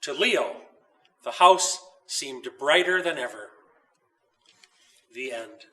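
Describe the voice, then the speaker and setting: A man reading his own scripted children's story as narrator, deliberate and expressive. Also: mid-range voice, recorded from a distance, low-quality recording.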